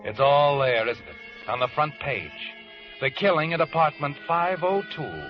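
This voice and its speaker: Sly taunting radio mystery narrator, music behind, old muffled recording. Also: male voice, on the high side, animated, unhurried. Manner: insinuating, with needling tag questions. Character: sardonic, all-knowing male narrator taunting the protagonist. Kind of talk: 1940s radio suspense narration in second person. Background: dramatic background music.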